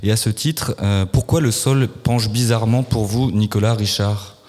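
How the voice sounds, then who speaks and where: A man hosting a live radio interview at a festival, speaking in a measured, formal way with some hesitant fillers. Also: lowish voice, a little mellow, big-room sound. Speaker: radio host. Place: festival venue, live broadcast.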